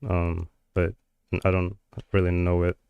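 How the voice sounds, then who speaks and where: Guy on stream muttering to himself while working, slow, lots of "like" and "um", smooth clear voice. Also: low-pitched, monotone, no echo, phone-quality sound. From Georgia. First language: Georgian. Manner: hesitant, many fillers and trailing thoughts. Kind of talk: thinking aloud while working on a live stream.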